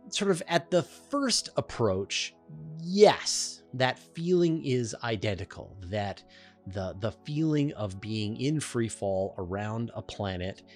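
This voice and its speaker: A man hosting a question-and-answer show, explaining things in an emphatic, informal, conversational way. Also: on the low side. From Canada.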